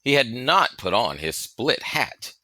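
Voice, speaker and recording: A man reading a story aloud as expressive scripted narration, with a dramatic, emphatic manner. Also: mid-range voice, takes it slowly, no room sound. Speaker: audiobook reader.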